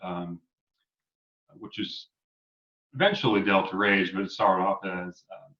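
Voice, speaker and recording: A man's voice: sits low, a little slow, sharp tone. A man in his forties from New York, a guest musician chatting casually, encouraging and chuckling, hunting for dates with many fillers. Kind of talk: casual interview chat. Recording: dull, roomy remote audio.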